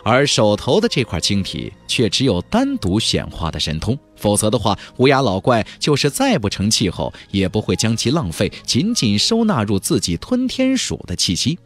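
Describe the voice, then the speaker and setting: A man narrating a fantasy audiobook as scripted storytelling, with an expressive, emphatic and dramatic delivery. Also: on the low side, moderate pace, hardly any pauses, faint music behind.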